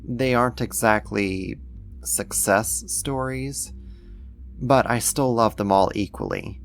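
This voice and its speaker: A man playing a doting alien creator who soothes a human pet, affectionate and gently possessive, in an ASMR roleplay. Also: on the low side, sing-song, takes it slowly, gravelly, soft background music. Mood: proud, reassuring.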